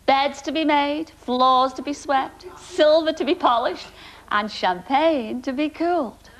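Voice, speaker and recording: A woman's voice: high voice, a little slow. A woman hosting a TV dating show, chatty and given to laughing as she presents.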